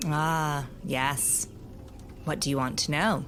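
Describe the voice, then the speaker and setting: A woman playing a wise, hospitable and grave keeper of magical lore, telling things deliberately with old-fashioned phrasing in an audio drama. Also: deep voice, fairly even intonation, a bit bright, faint music behind. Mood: explaining.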